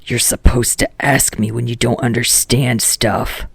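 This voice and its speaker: A man playing a brash, foul-mouthed, short-tempered teenage boy in a fan fiction audiobook, with a gruff, blunt, growling delivery. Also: medium pitch, fairly even intonation, unhurried, fairly bright tone, dry recording, heavily acted. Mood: irritated. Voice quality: gravelly, growling.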